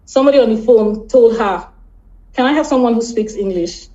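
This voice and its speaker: A woman's voice: a little high, warm tone. Nigerian woman, dull lo-fi call audio. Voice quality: halting, repeats words, laughs often. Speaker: guest. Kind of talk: casual interview chat.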